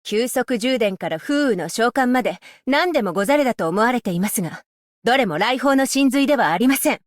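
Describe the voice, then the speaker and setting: A voice actress playing a stern, dutiful female magistrate-scholar, speaking in a formal, measured and politely stern way for a game's voice lines. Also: a little high, animated, dry recording, studio quality, natural voice. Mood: composed. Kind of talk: game character voice lines. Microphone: close studio mic, dry.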